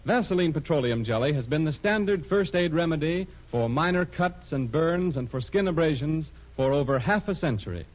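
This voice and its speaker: A man working as a 1930s radio announcer, reading scripted sponsor copy in a formal, measured way. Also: fairly high pitch, animated. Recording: muffled 1930s radio recording.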